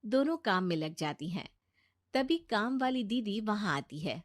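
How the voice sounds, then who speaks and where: A woman's voice: medium pitch, animated, a little slow. A woman reading scripted narration for a cartoon bedtime story.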